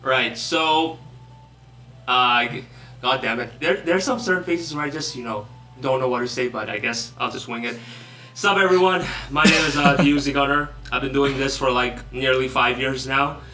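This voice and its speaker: A man's voice: medium pitch, normal speed, sharp tone. A young man from Bangladesh, a guest in a casual online interview, joking and self-deprecating as he rambles. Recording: roomy echo, distant from mic.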